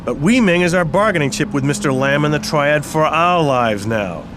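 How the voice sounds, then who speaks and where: Casting: male actor as decisive, commanding game mercenary, lively highish voice with noise behind. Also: normal speed, close to an everyday voice. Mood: determined. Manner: commanding, direct. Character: decisive, tough male mercenary team member. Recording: clear ambient noise behind.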